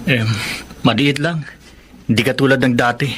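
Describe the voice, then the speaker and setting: An actor playing a glum, hard-up student and older brother in a Filipino radio drama. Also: male voice, mid-range voice, normal speed, fairly bright tone, slight background noise, close to an everyday voice.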